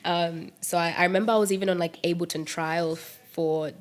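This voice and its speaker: A young woman musician chatting in an interview about making her music, lively and laughing, with lots of "like" and "um". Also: on the low side, normal speed. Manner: animated, laughing, fillers like "like" and "um". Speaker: musician and producer guest. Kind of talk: casual interview chat.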